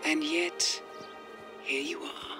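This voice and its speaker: An actress playing a composed female handler in a video game, calm and measured. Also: over loud music, natural voice. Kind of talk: video game cutscene acting. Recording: long reverb over game score.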